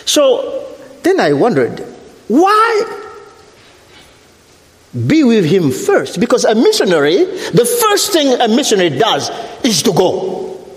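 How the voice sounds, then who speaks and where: Middle-aged man, French accent, guest preacher; slow, deliberate delivery with repeated points, fairly high voice, big reverberant church hall. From Africa. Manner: deliberate, repeats phrases, rises in pitch when preaching. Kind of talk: sermon. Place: church sanctuary.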